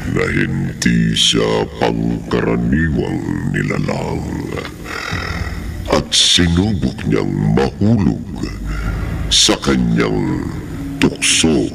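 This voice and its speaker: An ominous horror radio storyteller with a rumbling, heavily creaking bass. Every phrase is stretched out very slowly and with menace, theatrically, over clear background music. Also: male voice, expressive, heavily acted. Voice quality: very deep, gravelly. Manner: slow, drawn-out, menacing delivery. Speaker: narrator and host of horror radio drama.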